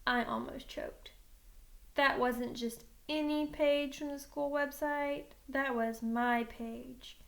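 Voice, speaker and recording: Expressive woman reading aloud, high voice. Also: takes it slowly, creaky. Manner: dramatic, expressive storytelling. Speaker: teacher reading a book aloud. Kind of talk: animated children's novel read-aloud.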